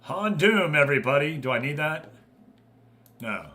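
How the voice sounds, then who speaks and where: Bright-voiced man calling simulated football. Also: a little high, animated, normal speed, slight background noise. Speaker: streamer commentating football management game matches. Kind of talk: live play-by-play game commentary with casual asides to chat.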